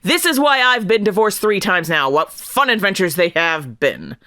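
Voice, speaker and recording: A woman playing a gruff, sarcastic, bullying military commander in an audio drama, barking with open contempt. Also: medium pitch, big pitch swings, normal speed, pushed and bright, dry recording, clean studio sound. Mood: scornful, interrogating.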